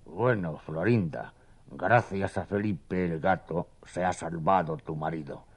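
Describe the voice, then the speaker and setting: A man's voice: deep voice, measured pace, husky, heavily acted. A man playing a grave, reassuring doctor in a children's audio story.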